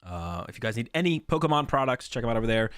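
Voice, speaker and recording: A man's voice: mid-range voice, quick pace, clear, resonant tone, no echo, studio quality. A young man hosting a card game stream, chatting casually to his viewers while sick, his voice worn. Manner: relaxed, rambling, repeats himself.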